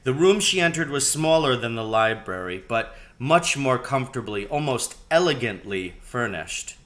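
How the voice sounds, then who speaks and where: A man reading a children's novel aloud in a storybook narration style, with measured, deliberate phrasing. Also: mid-range voice, a bit bright. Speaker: reader, teacher.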